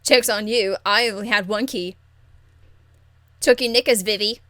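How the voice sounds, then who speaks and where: A woman voicing a cheeky, crude young street rebel woman from a fantasy game, blunt, slangy and excitable. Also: mid-range voice, moderate pace, dry recording, studio quality, lightly acted. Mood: needling. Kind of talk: video game character acting.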